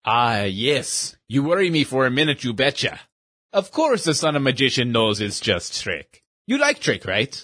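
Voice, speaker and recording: A cheerful old shopkeeper who sells magic tricks talks in broken English with a showman's patter, as a man puts him on for a storybook. His voice leaps up and drops back in lively swings. It has a slightly husky edge, close and dry. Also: medium pitch, normal speed, no room sound. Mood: chatty. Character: old male magic shop owner, cheerful.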